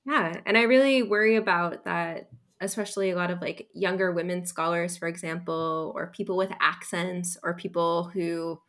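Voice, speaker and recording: A woman's voice: on the low side, fairly even intonation, moderate pace, a bit bright. A woman professor talking as a guest on a podcast, thoughtful, with many fillers and restarts. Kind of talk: casual podcast interview.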